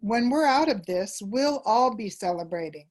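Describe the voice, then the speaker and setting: A woman's voice: a little high, animated, darkish tone. A woman answering questions as a theater group's presenter, in an informal, casual interview style. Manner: unhurried, informal.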